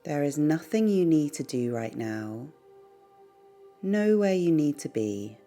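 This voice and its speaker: Low, mellow woman guiding a slow meditation. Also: big pitch swings. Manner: gentle, unhurried, pausing between short phrases. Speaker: guided meditation teacher. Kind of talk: guided meditation, soothing narration. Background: background music.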